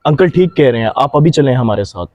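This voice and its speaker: Man acting a preachy young man, mellow voice. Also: mid-range voice, lively intonation, a little fast, dull audio, natural voice. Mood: earnest, respectful. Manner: earnest, preachy. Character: righteous young man, moralising and respectful to elders. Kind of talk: amateur moral short-film acting.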